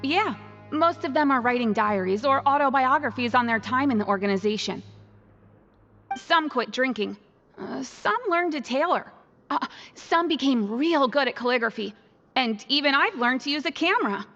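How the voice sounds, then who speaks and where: A woman's voice: high voice, expressive, normal speed, sharp tone, big-room sound, lo-fi sound, heavily acted. An actress playing a friendly, content female prisoner in a video game, explaining things in an easygoing way. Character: friendly, content female prisoner and club member tidying books. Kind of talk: video game dialogue acting.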